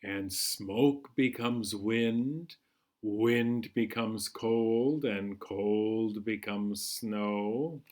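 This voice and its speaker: A man hosting a children's storytime, reading a picture book aloud in a measured, singsong way. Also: fairly low pitch, darkish tone. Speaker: children's storytime host at a library.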